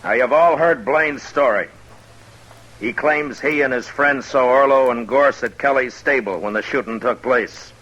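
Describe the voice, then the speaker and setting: A man acting a stoic, world-weary US marshal in a 1950s radio western, speaking tersely and gravely. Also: medium pitch, measured pace, a bit bright, dull audio, slight background noise, lightly acted. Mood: firm, commanding. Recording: old-time radio broadcast recording.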